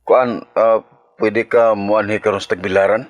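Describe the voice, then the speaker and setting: A man's voice: lowish voice, level tone, normal speed, natural voice. A man with a Cebuano accent acting an irresponsible, pleading husband who coaxes and whines in a radio drama. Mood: sheepish, pleading. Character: irresponsible, wheedling husband, always broke and pleading.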